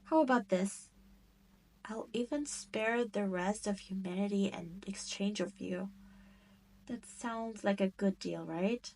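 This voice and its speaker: A woman's voice: mid-range voice, darkish tone, rough recording. A woman voicing a cruel, haughty demon queen in a roleplay audio drama, with a teasing, condescending manner. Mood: persuasive. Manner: slow, teasing, condescending drawl with theatrical emphasis.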